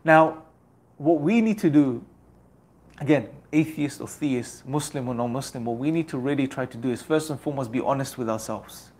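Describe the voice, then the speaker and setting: A man's voice: mid-range voice, normal speed, darkish tone. A young man giving an earnest, exhorting religious talk that leans on rhetorical repetition. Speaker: religious speaker.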